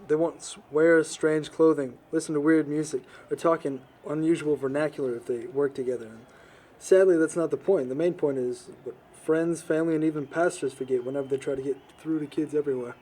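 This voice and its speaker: A man's voice: fairly high pitch, dark tone, natural voice. A young man playing an earnest, reflective teenage boy in an amateur audio drama, choosing his words thoughtfully. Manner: earnest, measured wording, reflective. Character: earnest, thoughtful, non-judging teenage boy, quiet outsider.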